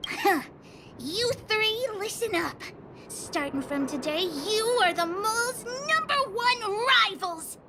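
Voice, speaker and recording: A woman's voice: very high voice, animated, takes it slowly, noisy background, exaggerated voice. A woman playing a boastful, bossy little girl leading a kids' gang in a video game, with brash, childish, dramatic delivery. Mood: defiant, boastful. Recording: loud music under the voice.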